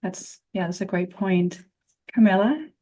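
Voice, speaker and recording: A woman moderating a panel, affirming speakers in a steady way. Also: medium pitch, a little slow. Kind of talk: panel discussion.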